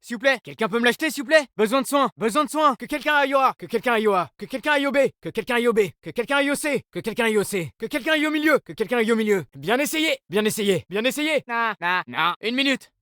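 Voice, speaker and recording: A man's voice: extremely high pitch, animated, fast talker, few pauses, sharp tone, dry recording, clean studio sound, exaggerated voice. A man voicing a laid-back young male agent in a video game, casual, slangy and upbeat, speaking in. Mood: focused, eager.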